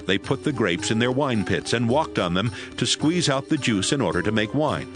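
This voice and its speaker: A man narrating a dramatized Bible reading in a steady, even storytelling manner. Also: medium pitch, moderate pace, music in the background.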